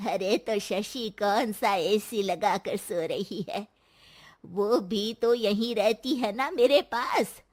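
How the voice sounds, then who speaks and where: A woman's voice: medium pitch, takes it slowly. A woman voicing a feisty, protective old mother-in-law in a story, scolding and drawling.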